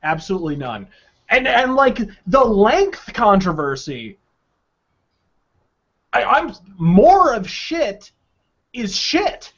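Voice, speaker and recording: A man's voice: high voice, big pitch swings, slow pace, pauses often, a bit bright. A man ranting emphatically and sarcastically about video games on a podcast, with plenty of swearing and exaggeration. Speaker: podcast panelist. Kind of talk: opinionated video game podcast talk. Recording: dull, lo-fi online audio.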